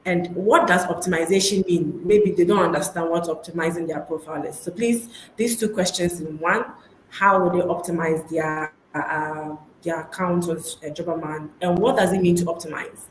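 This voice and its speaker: A woman's voice: lowish voice, steady tone, moderate pace. A woman hosting a live online webinar, upbeat, repeating her key points and laughing easily. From Ghana. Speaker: webinar host. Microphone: online call mic. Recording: echoey room, faint noise.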